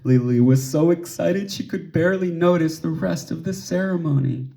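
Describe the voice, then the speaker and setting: A man reading a children's story aloud expressively, with measured pauses and emphasis for drama. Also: fairly high pitch, gravelly, dark tone. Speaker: storybook reader.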